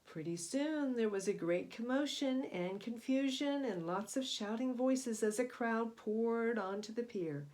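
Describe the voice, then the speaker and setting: A woman storyteller addressing young children, introducing and reading a picture book in an expressive, explanatory way. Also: a little high, a little slow, hardly any pauses, rough recording. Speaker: children's read-aloud storyteller.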